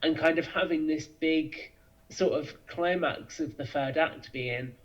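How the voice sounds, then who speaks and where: A man with a fairly high voice speaks as a guest in a casual podcast interview. He explains his ideas fluently, and the sound is slightly dull and lo-fi, as from a remote call. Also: unhurried.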